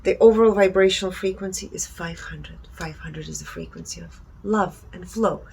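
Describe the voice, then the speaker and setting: A woman speaks in a fairly low voice with a marked creak. She presents readings and reflections earnestly, in short emphatic phrases, over faint background ambience. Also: fairly even intonation, moderate pace, a little mellow, slight background noise, rough recording. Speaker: presenter reporting energy readings. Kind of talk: presentation reading out findings with personal commentary.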